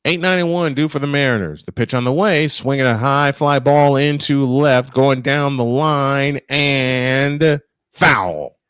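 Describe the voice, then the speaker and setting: A man hosts a live baseball broadcast as play-by-play announcer. His voice sits at a middle pitch and is recorded dry and close, moving between calling the action and chatting. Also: measured pace, fairly bright tone, clear, resonant tone, dry recording. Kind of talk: live baseball commentary with chat.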